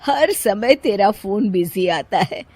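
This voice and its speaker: A woman voicing a patient, concerned family member in a cartoon story, speaking in a measured, earnest way. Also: medium pitch, lively intonation, a little mellow, breathy edge, no echo. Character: concerned, patient mother-in-law and gentle forgiving husband. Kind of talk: Hindi cartoon story voice acting.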